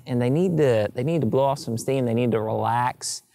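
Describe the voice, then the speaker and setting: A man in his thirties talks straight to the camera with a playful, upbeat delivery. His voice has a gravelly, fried texture. The lapel mic keeps the sound close and dry. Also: medium pitch, a little fast, dark tone, dry recording. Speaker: show host. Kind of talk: to-camera talk. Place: outdoors in front of a sign.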